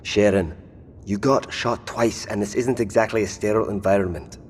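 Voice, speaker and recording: Casting: actor as patient, insistent caretaker, low voice, reverberant space with faint noise. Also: steady tone, normal speed, darkish tone, close to an everyday voice. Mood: firm concern. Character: caring, firm companion nursing a wounded partner. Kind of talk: audio drama acting.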